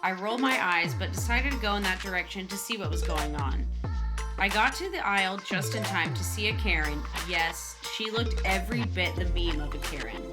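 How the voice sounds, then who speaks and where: A woman's voice: lowish voice, lively intonation, normal speed. A woman hosting and narrating scripted stories with her own commentary. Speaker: narrator and host. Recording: music under the voice.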